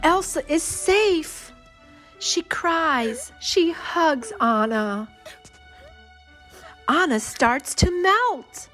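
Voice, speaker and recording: Lady reading a kids story really slowly, high lively voice, music behind her. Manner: expressive, short deliberate phrases. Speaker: children's read-along storyteller. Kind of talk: simple scripted storybook narration for young children.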